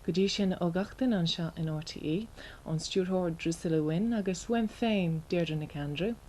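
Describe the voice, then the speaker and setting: An Irish-accented woman reading scripted television continuity announcements in a polished, measured way. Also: fairly low pitch, a little mellow, slight background noise.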